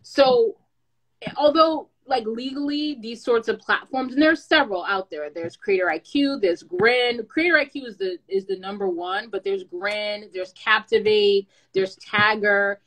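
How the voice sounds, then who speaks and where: A woman's voice: medium pitch, unhurried, poor audio. Chatty woman giving creator advice. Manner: lively, chatty, with playful asides. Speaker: content creator and brand manager. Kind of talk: casual advice talk.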